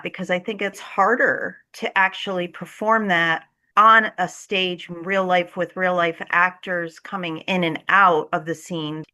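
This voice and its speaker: A woman with a bright, forward voice pitched a little low. She talks conversationally as a theatre director being interviewed, earnest and enthusiastic about her work.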